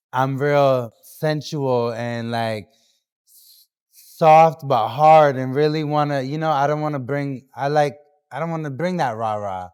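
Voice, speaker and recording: Smooth-voiced man, chatty podcast guest. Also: medium pitch, a little mellow. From New Hampshire. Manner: loose, upbeat, many fillers and restarts. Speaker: guest artist. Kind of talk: casual podcast interview.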